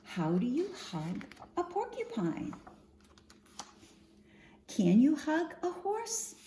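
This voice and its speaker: Slow, animated woman storyteller for children. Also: high-pitched. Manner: expressive, singsong rhyming delivery, addressing children with questions. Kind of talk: read-aloud picture book storytime for young children. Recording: roomy echo, faint background noise.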